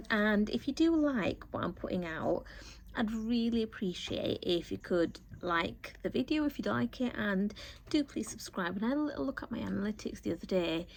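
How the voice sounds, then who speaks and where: A woman, a little croaky from a cold, chatting casually to camera about her sewing week, rambling with lots of ums. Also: mid-range voice, a little mellow, a little hiss or hum. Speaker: sewing vlogger.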